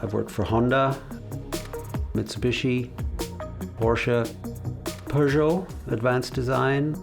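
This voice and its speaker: Gravelly-voiced man, measured and reflective. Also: mid-range voice, fairly even intonation, over music. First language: English. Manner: measured, reflective, with frequent hesitations. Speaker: car designer. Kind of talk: documentary interview.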